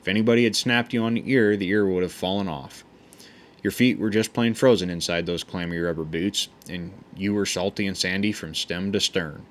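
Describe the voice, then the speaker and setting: Guy reading an old memoir out loud, lowish voice, easygoing, trips over words and starts again. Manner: unhurried, folksy, with frequent stumbles and restarts. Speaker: audiobook reader.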